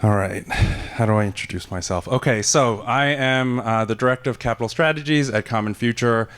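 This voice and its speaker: A man's voice: mid-range voice, brisk, smooth. A man and former camp counselor speaking energetically on a conference stage with a handheld mic, describing his work on a panel. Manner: energetic, self-described loud voice. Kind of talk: conversational panel talk. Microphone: handheld microphone.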